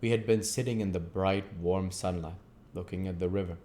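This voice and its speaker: A male narrator with a low voice reads literary prose aloud. He delivers it in an audiobook style as the host of the reading. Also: fairly even intonation, a little fast, mellow, slight background noise.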